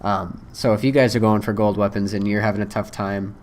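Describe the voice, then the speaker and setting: A young man, hoarse from a cold, casually rambling through his first impressions with lots of ums and uhs. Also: lowish voice, steady tone, brisk, darkish tone, slight background noise. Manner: rambling, many fillers. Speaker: gaming video creator.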